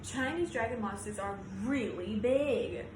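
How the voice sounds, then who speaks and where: A woman's voice: fairly high pitch, lively intonation, unhurried. Woman reading as an imaginative little boy, echoey. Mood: nervous. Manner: exclaiming, childlike phrasing. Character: imaginative young boy, boastful yet easily scared. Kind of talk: storybook read-aloud. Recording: roomy echo, clear background noise.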